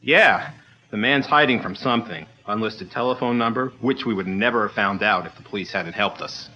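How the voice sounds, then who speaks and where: An actor playing an eager, loyal assistant who speaks brisk and plain in a 1940s-style radio drama. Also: male voice, on the low side, animated, bright, forceful tone, dull audio. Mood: earnest.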